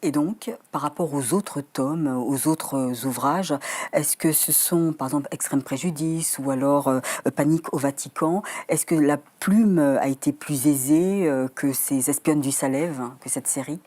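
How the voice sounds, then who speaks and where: A woman hosting a TV studio interview, asking measured questions with occasional fillers. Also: low-pitched, dark tone. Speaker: TV interviewer host.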